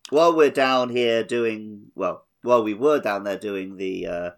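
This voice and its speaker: A man's voice: on the low side, measured pace. A man co-hosting a gaming podcast in casual, joking conversation. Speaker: podcast co-host.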